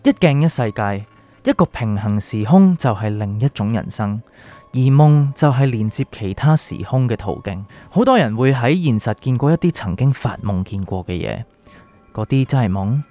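An actor playing a calm, enigmatic doctor in a radio drama, speaking Hong Kong Cantonese in a measured, probing way. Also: male voice, mid-range voice, close to an everyday voice. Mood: cryptic, grave. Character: calm, cryptic male doctor with a secret identity. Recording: music underneath.

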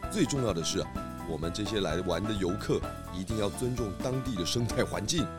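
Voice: deep voice, expressive, brisk, over music. An actor playing a kindly, food-loving pig detective in a children's audio drama, exclamatory and encouraging. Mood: cheerful, admiring.

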